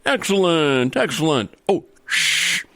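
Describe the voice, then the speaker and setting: An actor playing a smug, corrupt, middle-aged senator in a comedy radio play, boastful and pompous. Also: male voice, fairly high pitch, big pitch swings, slow pace, sharp tone, no room sound, heavily acted. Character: smug, corrupt veteran male senator, scheming and self-satisfied. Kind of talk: comedy radio theater acting.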